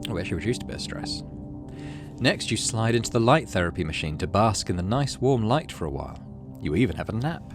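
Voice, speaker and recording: A low-voiced man hosts a video game playthrough. His intonation is lively as he moves between casual commentary and reading story text aloud, with music clearly playing behind him. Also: fast talker. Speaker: gaming channel host.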